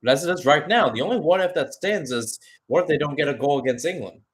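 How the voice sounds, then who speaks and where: A man's voice: fairly high pitch, expressive, quick pace. A man calling a football match live as a radio-style play-by-play commentator. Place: commentating remotely, not at the stadium.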